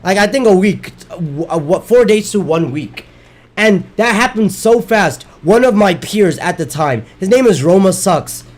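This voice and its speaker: A man's voice: high-pitched, expressive, measured pace, a little mellow. A man streaming a video game and chatting casually, his voice worn from daily streaming, rambling and correcting himself as he goes. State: losing his voice. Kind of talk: casual chat while gaming.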